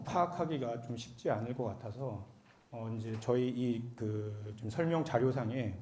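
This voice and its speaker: A man with a cold giving a formal explanatory seminar presentation as an accountant, speaking politely and a bit haltingly. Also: medium pitch, warm tone. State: has a cold.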